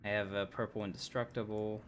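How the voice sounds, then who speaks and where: A man streaming himself playing a game, talking in a loose, rambling way into a desk mic. His voice sits a little low. A faint hiss runs under it. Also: little pitch movement, brisk. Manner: rambling, easygoing, many fillers. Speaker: livestream gamer. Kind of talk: casual gameplay commentary.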